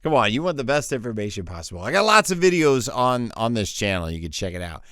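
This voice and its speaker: A man's voice: medium pitch, sing-song, fast talker, a bit bright, smooth, no echo. A man casually walking viewers through setting up his voiceover freelance profile, rambling and interrupting himself with fillers, close to a studio mic. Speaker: voiceover artist giving a tutorial. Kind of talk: casual screen-share tutorial.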